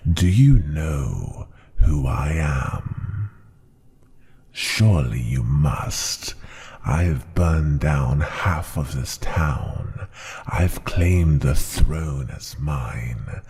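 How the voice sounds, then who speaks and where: A man's voice: a little mellow, heavily acted. A man voicing an ancient, tyrannical dragon ruler who scorns humans, speaking in a pompous, menacing growl for an ASMR roleplay. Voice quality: deep gravelly growl, breathy at times. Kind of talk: ASMR roleplay, slow theatrical monologue.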